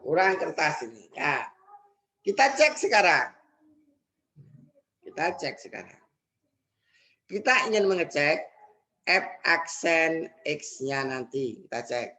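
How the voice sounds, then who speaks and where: A man's voice: on the high side, sing-song, takes it slowly, long pauses. Man talking through math problems aloud. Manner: thinks aloud, repeats steps, quiet muttered asides; asks and answers his own questions. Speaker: high school math teacher. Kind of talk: explaining exam problems step by step.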